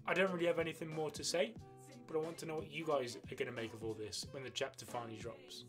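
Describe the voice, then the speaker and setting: A young man hosting an anime news vlog, giving excited fan commentary and pausing dramatically to stress key words. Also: fairly high pitch. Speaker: host of an anime news channel. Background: background music.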